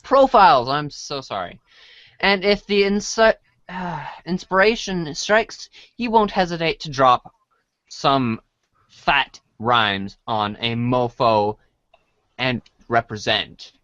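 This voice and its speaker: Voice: mid-range voice, expressive, slow pace, fairly bright tone, no room sound, muffled recording. A person reading a comic script aloud as storybook narration, sometimes stumbling over lines and apologizing. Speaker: reader of a read-along storybook show.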